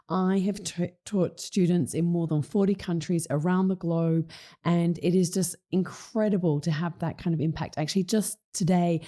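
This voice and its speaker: A middle-aged woman hosting her own podcast, talking in a casual, enthusiastic way with a slightly croaky voice and plenty of fillers. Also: on the low side, dark tone. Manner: enthusiastic, conversational with many fillers. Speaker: podcast host. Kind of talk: casual solo podcast talk.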